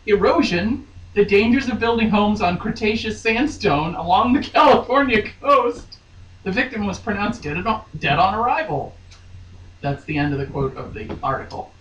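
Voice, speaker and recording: A woman's voice: mid-range voice, a bit bright. A woman co-hosting a podcast, giving a hammy, mocking dramatic reading of a novel with chatty, jokey asides. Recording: roomy, distant mic with faint noise.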